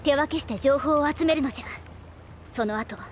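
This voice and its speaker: A woman's voice: sits high, a little fast, slight background noise, lightly acted. A woman voicing a bossy little female creature companion in an anime game, imperious, with old-fashioned elderly turns of phrase. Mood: commanding. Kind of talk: Japanese anime game voice acting.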